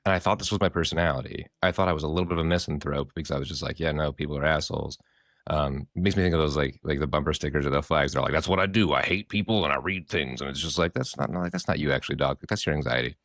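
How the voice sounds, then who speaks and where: Fast-talking deep-voiced hoarse man. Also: no echo, studio quality. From American Midwest. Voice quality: hoarse from screaming. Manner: expressive, rambling, swears freely. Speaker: therapist and podcast co-host. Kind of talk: casual podcast chat.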